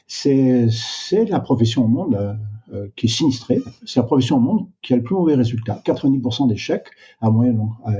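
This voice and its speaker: An older man talks slowly, stopping often to search for his words. His voice is very dark and mellow. The recording is dull and lo-fi. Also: mid-range voice. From Canada. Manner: slow, reflective, many hesitations. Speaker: guest, doctor. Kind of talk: interview answers. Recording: dull, lo-fi sound.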